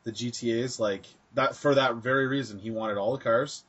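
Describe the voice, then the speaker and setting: Relaxed mid-pitched podcast man. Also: normal speed, husky. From Canada. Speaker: podcast co-host. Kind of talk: casual gaming chat. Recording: dull lo-fi podcast audio.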